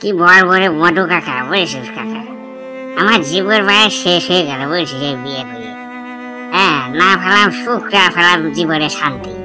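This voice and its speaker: A woman's voice: lowish voice, animated, moderate pace, music in the background, natural voice. A woman voicing a miserable, comically self-pitying married village man who complains in coarse slang, in a cartoon. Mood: lamenting. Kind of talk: cartoon comedy dialogue.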